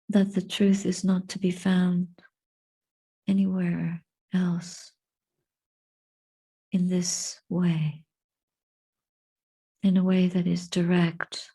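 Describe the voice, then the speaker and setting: Slow, very soft, breathy woman guiding meditation. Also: lowish voice. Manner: contemplative, measured phrases with long pauses. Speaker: Buddhist nun and meditation teacher. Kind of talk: guided meditation and dhamma reflection.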